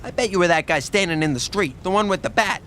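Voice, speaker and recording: A man's voice: high-pitched, lively intonation, fast talker, sharp tone, slight background noise. A man playing a tough, suspicious gangster boss who talks in blunt wiseguy slang in a comic crime audio drama. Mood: suspicious, taunting.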